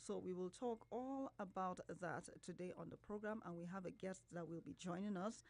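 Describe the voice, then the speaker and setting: A woman's voice: lowish voice, normal speed, very noisy. A woman with a Nigerian accent working as a radio host, conducting a live interview. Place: radio studio.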